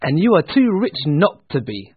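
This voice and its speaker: Man playing a high-pitched, cheery young gentleman. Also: very animated, normal speed, slightly rough, no room sound. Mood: teasing. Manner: exuberant, hearty, pleading. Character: cheerful, warm, earnest young gentleman, the miser's nephew. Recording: thin, phone-like narrow-band sound.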